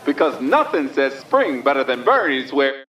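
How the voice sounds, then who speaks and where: Animated, distant supermarket PA announcer. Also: male voice, mid-range voice, normal speed, slight background noise. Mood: salesy, upbeat. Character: chirpy male supermarket PA announcer pitching specials. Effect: store public-address speaker. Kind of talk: promotional announcement. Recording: distant store PA over background music.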